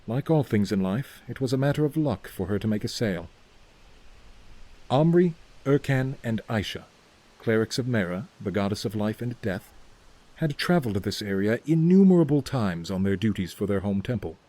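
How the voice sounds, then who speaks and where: A man's voice: on the low side, lively intonation, normal speed, dark tone. A man reading scripted narration for a fantasy story as its narrator.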